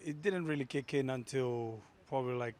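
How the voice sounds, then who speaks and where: A man's voice: medium pitch, normal speed, a little mellow. A man from Fiji, a rugby player answering interview questions in an easygoing way.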